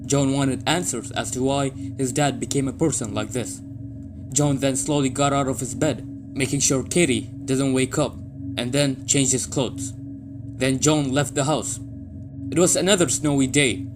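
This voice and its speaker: Male narrator for an audio drama: medium pitch, scripted storytelling, clear music underneath. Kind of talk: scripted story narration in short plain sentences.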